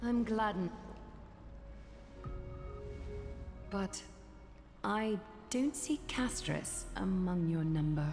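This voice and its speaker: A woman voicing a burnt-out, resolute thousand-year-old female leader in a video game, grave, measured and restrained. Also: medium pitch, expressive. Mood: quietly amused. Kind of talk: scripted game voice acting. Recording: long hall reverb, loud music.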